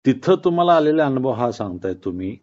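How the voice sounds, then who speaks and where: Male caller, average pitch, attentive and brief, casual conversational delivery, muffled phone recording. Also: very animated, a little fast, darkish tone. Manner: attentive, brief questions and murmured acknowledgements. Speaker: caller asking questions. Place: phone call.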